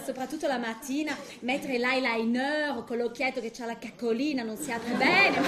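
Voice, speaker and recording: A woman's voice: high voice, noisy background. A woman playing a vain, coquettish middle-aged lady in a comic stage monologue, affected and theatrical, with French interjections. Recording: roomy, echoing stage sound.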